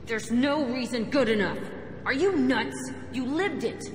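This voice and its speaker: A woman's voice: high voice, animated, measured pace. A woman playing a hardened, foul-mouthed, wounded young ex-prisoner in a video game, blunt and defiant in delivery. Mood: incredulous anger. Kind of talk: video game cutscene acting. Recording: echoey hall, clear background noise.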